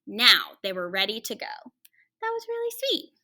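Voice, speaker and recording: Animated, high-pitched woman reading a children's story. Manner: animated, with dramatic pauses and hushed asides. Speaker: teacher reading a picture book aloud to children. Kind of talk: expressive children's story read-aloud with conversational asides to listeners.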